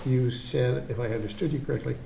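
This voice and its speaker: A man on a town commission asking deliberate, probing questions at a public meeting in a roomy hall. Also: mid-range voice, creaky, background noise. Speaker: commission member.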